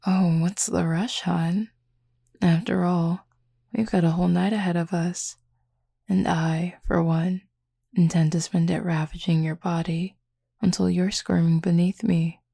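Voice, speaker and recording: Woman acting as female werewolf lover, audio roleplay, mellow husky timbre, even intonation, teasing drawl, confident, playfully commanding. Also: lowish voice, measured pace, full character voice. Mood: sultry, commanding. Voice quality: husky, gravelly.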